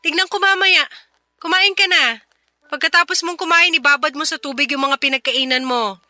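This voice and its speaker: High-voiced, bright, overworked mother. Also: normal speed, dry recording. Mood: tired, dismissive. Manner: weary, scolding, emotive. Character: tired, overworked single mother, impatient but caring. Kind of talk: Tagalog radio drama acting.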